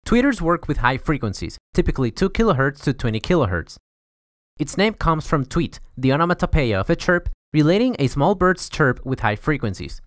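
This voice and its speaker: A man's voice: fairly high pitch, very animated, fairly quick, dry recording, clean studio sound. A young man narrating a scripted educational explainer in a formal, methodical way as the host. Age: young adult. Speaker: host of an educational audio series.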